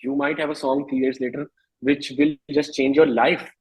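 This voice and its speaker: A man's voice: mid-range voice, level tone, normal speed, a little mellow, husky, rough recording. A man in his thirties with an Indian accent, a musician speaking casually and emphatically in an interview, repeating phrases for stress. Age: 30s.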